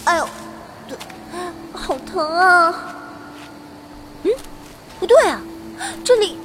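A woman playing an excitable teenage schoolgirl transported into a fantasy world in an audio drama, exclaiming and talking to herself playfully. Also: extremely high pitch, slow pace, a little hiss or hum, heavily acted. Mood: pained, bewildered. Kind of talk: Chinese audio drama acting.

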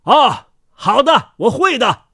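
A man voicing a hot-tempered but kindly inn landlord in a storybook, blustering and excitable. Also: extremely high pitch, slow, gravelly, slightly rough, dry recording, studio quality, pitched above the performer's own voice, heavily acted. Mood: hearty, cheerful. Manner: shouting, stammering when flustered.

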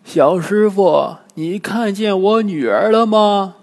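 Guy doing an old granny demon from a folk tale, high, draggy, plaintive, echoing hall. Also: expressive, exaggerated voice. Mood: wheedling. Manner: drawn-out, plaintive, theatrical. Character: demon disguised as wailing, scolding old woman. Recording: long hall-like reverb.

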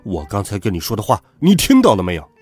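Schoolboy bully leader for a storybook, played by a man: husky, blustering, quick to threaten, very animated. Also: medium pitch, soft background music, exaggerated voice. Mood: menacing, impatient. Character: bullying schoolboy gang boss, brash, hot-tempered, dim.

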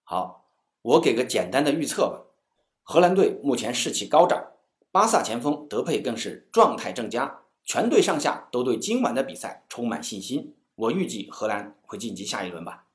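A man's voice: mid-range voice, sing-song, moderate pace, roomy sound. A man hosting a football show, delivering a scripted match recap in a commentary style. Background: music.

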